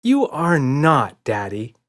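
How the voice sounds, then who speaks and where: A man's voice: mid-range voice, huge pitch swings, slow pace, fairly bright tone, pitched above the performer's own voice, full character voice. A man voicing a young girl in a children's storybook, insistent, worried and pleading. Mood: questioning. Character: little girl, insistent and worried about monsters.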